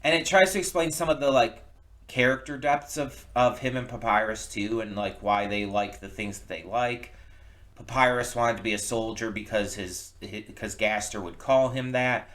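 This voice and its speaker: Man giving halting, casual commentary. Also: medium pitch, fairly bright tone. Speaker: reaction video host. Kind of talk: casual opinion commentary on a comic dub.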